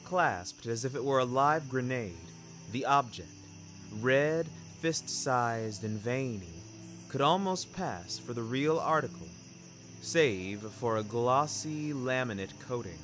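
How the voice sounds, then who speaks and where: Male fiction-podcast narrator: wry, literary dramatic read, very measured tempo, music bed. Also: mid-range voice, animated, a bit bright. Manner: wry, literary, deliberate phrasing.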